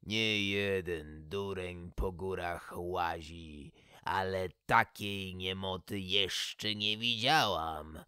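Male narrator as stone giantess, low grinding rasp, dragging pace, grumpy, scornful, storybook creature. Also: pushed and bright, no room sound, clean studio sound, exaggerated voice. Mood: gruff annoyance. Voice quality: grinding, gravelly like rock on rock. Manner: slow, curt, insulting. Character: gruff giant stone woman, irritable and scornful.

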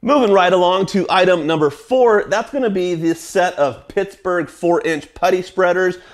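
A lively American man in his forties talks through tool picks in his small wood shop, pushing deals like a friendly salesman. His voice sits a bit high, and he leans on "uh" as he shows each item. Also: normal speed. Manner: energetic, salesy, many "uh" fillers, gestures with products. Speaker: woodworking channel host. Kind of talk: tool recommendation vlog. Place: small wood workshop indoors.

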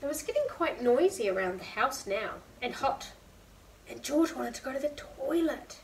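A woman in her twenties reading a picture book aloud to young children, expressive and asking listeners questions. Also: high-pitched, normal speed. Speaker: preschool storytime reader. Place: indoor room, seated beside a whiteboard. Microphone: camera a few feet away. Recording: distant camera mic, faint room noise.

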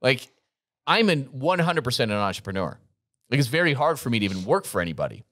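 A man's voice: medium pitch, big pitch swings, fast, a bit bright, no echo, studio quality. Earnest motivational man co-hosting. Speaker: podcast co-host. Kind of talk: business podcast talk. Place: gym set up as podcast studio. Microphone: studio mic on boom arm, headphones.

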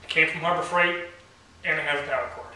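A man's voice: a little high, quick pace, pushed and bright. A young man with dry, wry humor, demonstrating a product to camera in a garage workshop. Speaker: company owner demonstrating a product. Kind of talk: product demo talking to camera. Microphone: camera mic from across the room. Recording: very echoey garage, heard from a distance.

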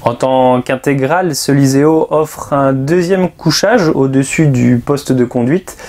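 A man, presenting a vehicle review in a measured, explanatory way into a lapel mic. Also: mid-range voice, a little mellow, a little hiss or hum. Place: outdoors by a river and inside a motorhome.

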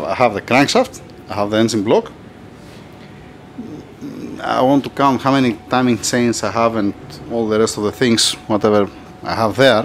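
A man's voice: medium pitch, a little hiss or hum. A man whose first language is Greek, filming his own engine rebuild in a workshop, thinking aloud as he goes. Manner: thinks aloud, restarts often, non-native phrasing. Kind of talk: casual workshop narration. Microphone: handheld camera.

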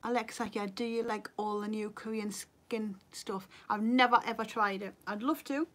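A woman's voice: medium pitch, steady tone, moderate pace, fairly bright tone. A woman in her thirties with a Geordie accent, chatting casually on a makeup livestream, a bit tired, reading out viewer comments from her bedroom webcam. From North East England. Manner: chatty, reads viewer comments aloud, laughs easily. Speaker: beauty vlogger. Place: bedroom at home, indoors. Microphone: webcam at desk.

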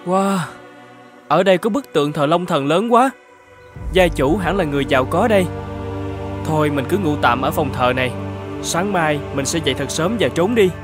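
A man performs a scrappy young vagrant from a cartoon folk tale. The voice is very high and youthful. He sounds naive and guileless, with open exclamations. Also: animated, moderate pace, music in the background, lightly acted. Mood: furtive.

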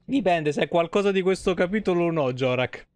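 A man's voice: high voice, lively intonation, a little fast, darkish tone, no room sound. A man in his thirties giving casual, joking, foul-mouthed live commentary on a game. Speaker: game streamer. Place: small indoor streaming room at home. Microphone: close streaming microphone.

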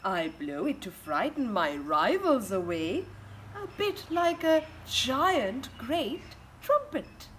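A woman's voice: a little high, very animated, slow pace, fairly bright tone, full character voice. A woman voicing a quirky elephant seal from a storybook, emphatic and singsong. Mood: comically resigned. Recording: outdoor ambient garden noise.

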